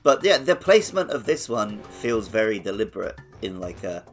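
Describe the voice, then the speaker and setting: A man's voice: fairly low pitch, big pitch swings, moderate pace. A man co-hosting a podcast in casual, enthusiastic gaming discussion. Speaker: podcast host. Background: background music.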